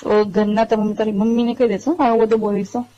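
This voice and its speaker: A woman's voice: fairly high pitch, level tone, quick pace, dark tone, close to an everyday voice. A woman playing an anxious middle-aged married woman pleading with her young lover, hesitant and repetitive, in a staged phone-call drama. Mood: uneasy confiding. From India. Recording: dull lo-fi phone call recording.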